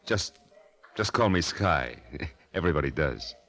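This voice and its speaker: A high-rolling gambler from an old radio drama. His voice is deep yet moves in lively swings, terse and gruff with a wistful, lovestruck hesitancy underneath. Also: measured pace, exaggerated voice. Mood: smitten, awkward.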